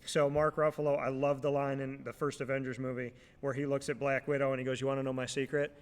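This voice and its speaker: A man's voice: mid-range voice, fast, big-room sound. Joking talk-show host man from New York. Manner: lively storyteller, jokey. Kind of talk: casual interview chat.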